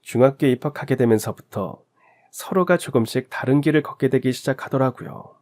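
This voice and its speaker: A man's voice: mid-range voice, a little mellow. Man narrating a heartfelt story. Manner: emotive, measured storytelling.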